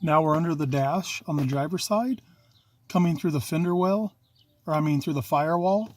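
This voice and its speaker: A man's voice: fairly high pitch, normal speed, darkish tone. A middle-aged man giving a casual video walkthrough as a DIY installer, talking in a plain-spoken, matter-of-fact, folksy way. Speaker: DIY installer presenting own work. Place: in and around a parked vehicle.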